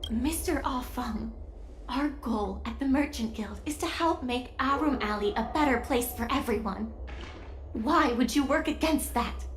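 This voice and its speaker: Earnest, high-voiced young guild heroine. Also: female voice, measured pace, slight background noise. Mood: firm, questioning. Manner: earnest, assertive, explanatory. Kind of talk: dramatic video game dialogue. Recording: music behind the voice.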